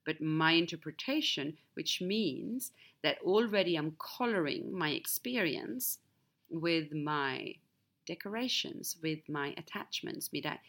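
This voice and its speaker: A bright, slightly low-pitched woman speaks English as a second language; her native tongue is Swedish. She tells a personal story candidly, in a reflective monologue with big swings in emphasis. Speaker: podcast host.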